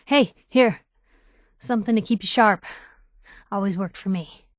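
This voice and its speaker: A woman's voice: medium pitch, measured pace, no echo, clean studio sound, lightly acted. An actress playing a plucky young woman newspaper reporter in a video game, with a wry, teasing, conversational way of talking. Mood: friendly. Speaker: companion character voice actor.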